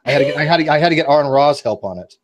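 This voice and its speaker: A man's voice: a little high, expressive, rapid-fire. A man in a casual philosophical discussion, explaining his points argumentatively as a guest debater.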